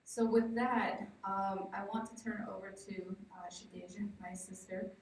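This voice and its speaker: Woman, conference presenter, slightly low pitch, halting explanatory delivery, heavily echoing room, distant mic. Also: steady tone. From Navajo Nation, southwestern United States. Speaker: project researcher presenting. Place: large echoey indoor presentation room. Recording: very echoey room, distant mic, faint noise.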